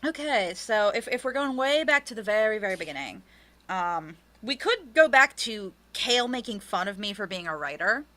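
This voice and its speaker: An American woman speaks as a guest in a casual interview. Her voice is bright and crisp-edged at a medium pitch. She tells stories wryly, breaking off and picking up her own thoughts. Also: very animated, normal speed. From United States. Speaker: interview guest, audio drama writer and producer. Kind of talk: casual chat.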